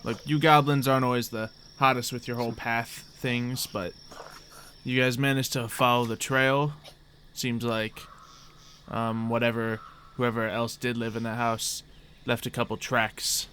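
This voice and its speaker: A man's voice: medium pitch, level tone, measured pace, a bit bright, slight background noise. A man acting as game master, casually narrating and improvising a tabletop roleplay game.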